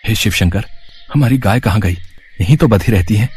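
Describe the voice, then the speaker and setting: A man's voice: fairly low pitch, a little fast, darkish tone, slightly rough. A man playing a devout, anxious village husband in a story, speaking in an earnest, pleading way. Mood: worried, pleading. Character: devout, anxious village husband who loves his cows. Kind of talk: story voice acting.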